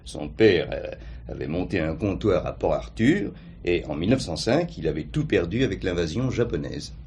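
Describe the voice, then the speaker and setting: An actor playing a formal, precise notary with a husky voice, recounting facts in a measured way in a crime drama. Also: male voice, low-pitched, animated, a little mellow, slight background noise. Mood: formal, explanatory. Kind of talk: French crime drama acting.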